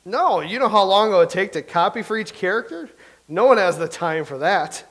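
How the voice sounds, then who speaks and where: A man's voice: high-pitched, animated, normal speed, fairly bright tone, exaggerated voice. A man playing a wry playwright. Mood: dry. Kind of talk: staged play reading.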